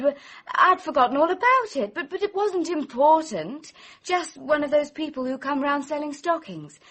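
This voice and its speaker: A woman's voice: high voice, fairly bright tone. A woman playing a flustered, defensive young Englishwoman in a radio mystery, with a British accent and hesitant speech. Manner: hesitant, evasive. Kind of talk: radio mystery drama acting.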